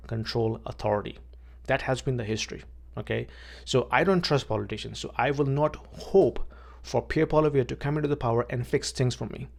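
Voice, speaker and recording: A man's voice: medium pitch, creaky, darkish tone. A man from Pakistan, a vlogger and realtor, talking plainly to camera outdoors, often repeating words and checking in with "okay". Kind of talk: casual talk to camera.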